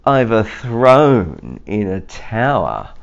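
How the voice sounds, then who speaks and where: A boastful, lonely storybook goat played by a man shows off in swooping, animated tones. The delivery is showy and unhurried, on a slightly dull recording. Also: lowish voice, gravelly, exaggerated voice. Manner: bragging, showy. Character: boastful lonely male goat on a tower throne.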